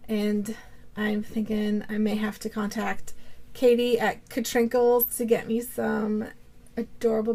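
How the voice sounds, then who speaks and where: Slow-talking woman with a cold. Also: medium pitch, a little mellow. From Vermont, USA. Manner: rambling, many fillers and restarts. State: has a cold. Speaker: yarn dyer and podcast host. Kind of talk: casual show-and-tell video podcast.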